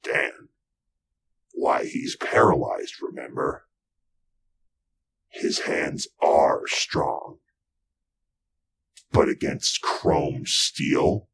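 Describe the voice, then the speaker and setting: Male actor as murderous robot, brooding, slow deliberate delivery, gravelly with a hard edge, old-time radio horror. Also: extremely low pitch, lively intonation, fairly bright tone, full character voice. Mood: cold, menacing. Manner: deliberate, halting, menacing. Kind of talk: retro 1940s radio drama acting, first-person narration.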